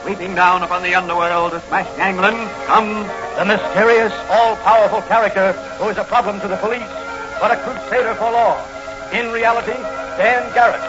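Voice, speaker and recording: A man's voice: sits high, natural voice. A man narrating a 1940s radio serial as a grand, declamatory announcer. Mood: grand, rousing. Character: dramatic announcer of a crime-fighter serial. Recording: dull vintage radio recording. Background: music.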